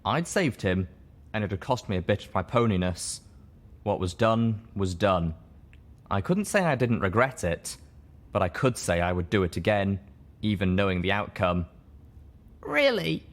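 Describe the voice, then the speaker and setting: A man's voice: deep voice, lively intonation, normal speed. A man narrating a story in a dramatic audiobook style. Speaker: story reader.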